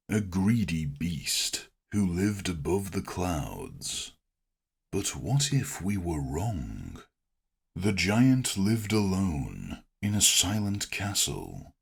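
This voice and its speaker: A man narrating a scripted documentary in a dramatic, deliberate manner, with weighty pauses. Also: low-pitched, vocal fry, husky.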